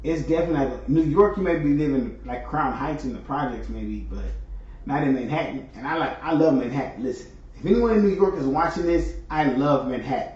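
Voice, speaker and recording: A rambling, talkative man hosts a solo stream, mid-pitched and easygoing. His voice sits well back from the mic. A heavy echo and a dull, muffled tone fill the room around him. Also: brisk, a little mellow. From California. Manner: rambling, chatty, frequent fillers. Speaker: host. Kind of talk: casual solo monologue. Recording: echoey, distant, dull sound.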